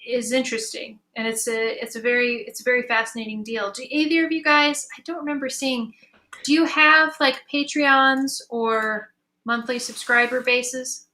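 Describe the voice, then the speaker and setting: A woman's voice: a little high, steady tone, moderate pace, sharp tone. A woman in a conversational panel discussion, speaking casually and reflectively as a moderator and fellow creator. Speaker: panel moderator, audio drama creator and musician.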